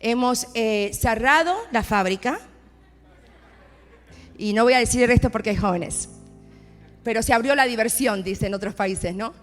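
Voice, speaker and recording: A woman's voice: a little high, normal speed, pauses often, a faint crowd. A woman in her forties from Argentina with an Argentine accent, preaching a personal testimony as a pastor's wife in church. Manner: personal storytelling, rising to emphatic declarations. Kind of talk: sermon testimony. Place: church sanctuary. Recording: long hall reverb with faint music.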